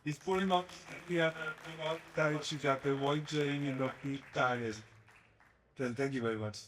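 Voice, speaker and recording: A man's voice: medium pitch, steady tone, moderate pace, a bit bright, a little hiss or hum. A man giving brief, impromptu thanks at an event. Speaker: organization member giving thanks. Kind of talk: impromptu remarks. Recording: voice far from the mic.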